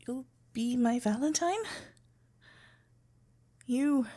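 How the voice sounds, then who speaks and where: Woman acting as lovestruck young florist girl, high voice, slow n stammery, flustered asmr roleplay. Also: heavily acted. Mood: flustered, hesitant. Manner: stammering, halting, breathless and giddy.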